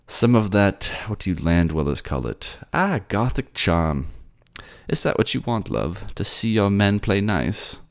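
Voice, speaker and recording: A man's voice: fairly low pitch, very animated, a little slow, gravelly, voice pushed up, full character voice. A man voicing a suave, teasing prince of the sea people in an audio drama, courtly and sardonic with old-fashioned turns of phrase. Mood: playful, doting.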